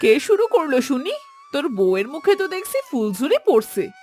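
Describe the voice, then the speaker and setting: A woman's voice: high voice, sing-song, normal speed, darkish tone, faint music behind. A woman voicing a scolding, melodramatic older village mother-in-law in a cartoon, with a theatrical, nagging manner. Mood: nagging, threatening. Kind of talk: Bengali cartoon voice acting.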